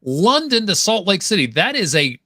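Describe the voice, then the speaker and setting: A man's voice: sits high, big pitch swings, normal speed, pushed and bright, no room sound, clean studio sound. A man hosting a live-streamed interview, upbeat and effusive. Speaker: podcast host.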